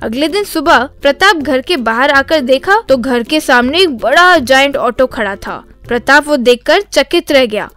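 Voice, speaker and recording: A woman's voice: sits high, expressive, measured pace, few pauses, fairly bright tone. A woman narrating a scripted children's story as the storyteller.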